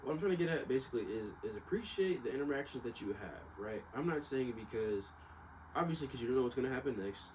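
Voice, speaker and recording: A man's voice: medium pitch, fast, low-quality recording. A young man talking casually to viewers in a rambling, reflective monologue, hesitant and often circling back over his points. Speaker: vlogger. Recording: clear steady background noise.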